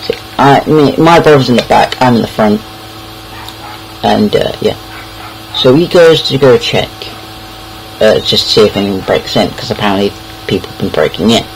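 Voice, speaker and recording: A man's voice: fairly low pitch, normal speed, creaky, a little hiss or hum, low-quality recording. A young British man casually telling anecdotes in a rambling, hesitant way, repeating words as he goes. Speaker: online storyteller.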